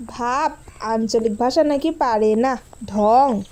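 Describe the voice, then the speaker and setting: A woman's voice: a little high, takes it slowly, darkish tone, slight background noise. A woman playing a chatty, teasing teenage girl in a cartoon, colloquial, with a rural Bangladeshi accent.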